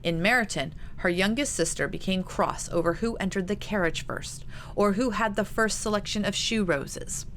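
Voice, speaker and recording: A woman's voice: mid-range voice, normal speed, slight background noise. A woman reading a novel aloud as its author, in a scripted storytelling style. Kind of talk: scripted fiction narration.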